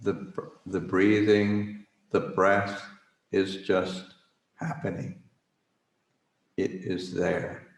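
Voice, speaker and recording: A man with a fairly low voice leads a guided meditation. He speaks extremely slowly, in short halting phrases with long pauses and searching hesitations. The recording is echoey and roomy. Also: little pitch movement. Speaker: Buddhist monk leading a guided meditation. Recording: roomy, echoing livestream recording.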